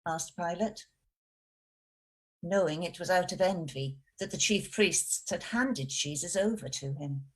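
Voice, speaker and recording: A woman's voice: fairly low pitch, measured pace, husky, low-quality recording. A woman reading scripture aloud as part of a church service. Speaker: scripture reader.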